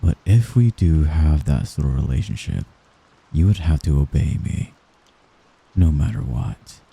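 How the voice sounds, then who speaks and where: A man playing a young mafia heir in an intimate ASMR roleplay, a gentle, hesitant and possessive friend who gives orders apologetically. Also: rumbling low, lively intonation, vocal fry, breathy edge, exaggerated voice. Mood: earnest, cautious. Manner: soft-spoken, halting, apologetic while giving orders.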